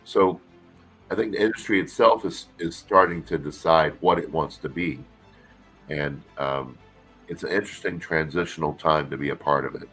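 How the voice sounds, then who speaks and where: A middle-aged man, an actor guest with a deep voice, talking casually in an interview with lots of fillers and restarts. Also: moderate pace, breathy edge, faint music behind. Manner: relaxed, rambling, many fillers and restarts.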